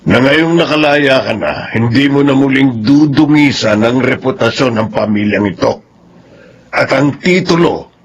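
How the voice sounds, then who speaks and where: An actor playing a stern, measured family patriarch in a Filipino radio drama. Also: male voice, mid-range voice, breathy edge. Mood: stern, warning.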